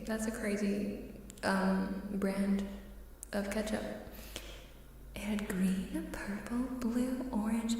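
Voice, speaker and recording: A woman live streamer chatting casually in ASMR style, soft-spoken and often slipping into a whisper, musing and repeating her phrases. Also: mid-range voice, recorded from a distance. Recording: heavily echoing reverberant room sound, faint noise.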